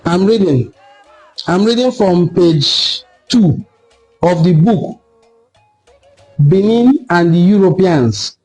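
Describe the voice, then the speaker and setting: A Nigerian man giving an impassioned solo commentary, lecturing forcefully and repeating points for emphasis. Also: high voice, animated, slow pace, long pauses, soft background music, poor audio. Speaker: online cultural commentator.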